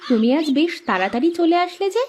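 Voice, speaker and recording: A woman's voice: sits high, big pitch swings, fast talker, a little mellow, a little hiss or hum. A woman playing a caring, hopeful cartoon housewife, homely and coaxing with her husband. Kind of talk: cartoon story dialogue.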